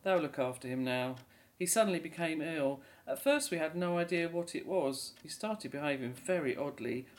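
An actress playing a remorseful middle-aged mother in an audio drama, speaking in a measured, resigned way. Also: sits low, animated. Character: remorseful mother confessing a long-buried family secret.

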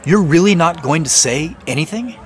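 Man playing an earnest, animated, higher-voiced boss. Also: moderate pace, a faint crowd, natural voice. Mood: accusing, probing. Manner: conversational, insistent, questioning. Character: earnest, probing male boss confronting his assistant. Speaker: actor in an audio drama. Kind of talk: naturalistic audio drama dialogue.

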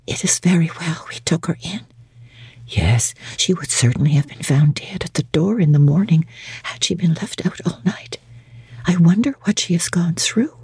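A woman voicing a gentle, compassionate young gentlewoman in a dramatic audiobook, soft, hushed and genteel. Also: fairly low pitch, slightly rough, no echo, full character voice. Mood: hushed pity. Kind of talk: dramatic audiobook character reading.

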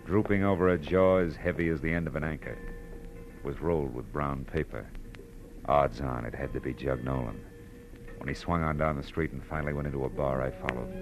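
Casting: deep-voiced, wisecracking gumshoe for old-time radio noir, dry and clipped, music underneath. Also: male voice, fairly even intonation, a little fast, poor audio. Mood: wry, brooding. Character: hard-boiled, wry male private detective. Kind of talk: 1940s radio noir narration and dialogue.

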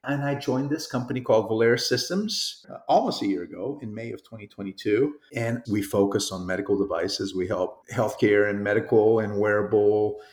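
A man's voice: on the low side, normal speed, few pauses, darkish tone. Middle-aged man from Portugal, interview guest, reflective rambling talk, roomy echo. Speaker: guest, sales executive. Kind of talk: conversational interview.

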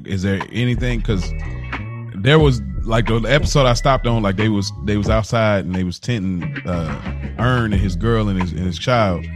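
A man's voice: lowish voice, moderate pace. Slangy male podcast co-host, music behind. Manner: animated slangy reactions. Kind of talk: casual chat.

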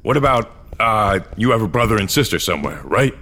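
An actor playing a weary, guilt-ridden, very old augmented great-grandfather in an audio drama, with a hesitant, low-key manner. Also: sing-song, a little fast, bright, forceful tone, a little hiss or hum. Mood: defensive, pleading. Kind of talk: audio fiction acting with first-person narration.

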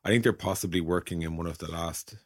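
A man's voice: sits low, quick pace, no room sound, studio quality. A man hosting a casual tech podcast discussion in an informal, joking manner. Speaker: podcast host.

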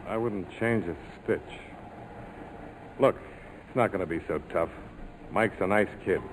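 An actor playing a tough, cool American major with a wry, clipped, persuasive way of talking in 1940s radio style. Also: male voice, sits low, unhurried. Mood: casual. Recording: noisy old 1940s radio broadcast.

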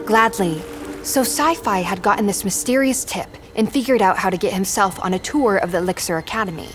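A woman's voice: mid-range voice, fairly quick, over music, lightly acted. A woman playing a cocky, wry young undercover spy in an audio drama. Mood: cagey, teasing.

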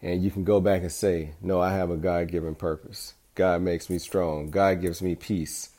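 A man's voice: low-pitched, flat delivery, normal speed, warm tone. A middle-aged man hosting a podcast, speaking in an earnest, encouraging way in a reflective motivational monologue. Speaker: podcast host.

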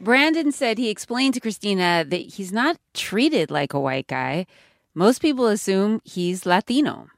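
A woman hosting a podcast, narrating in a clear, measured, conversational way. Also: medium pitch, lively intonation, dry recording, clean studio sound. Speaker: podcast host. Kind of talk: scripted narration and interviewing.

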